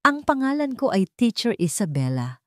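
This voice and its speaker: A woman voicing a grieving, vengeful young mother who poses as a gentle teacher, in a Filipino-accented audio drama. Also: on the high side, sing-song, normal speed, no room sound, studio quality, pitched above the performer's own voice. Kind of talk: dramatic audio story acting.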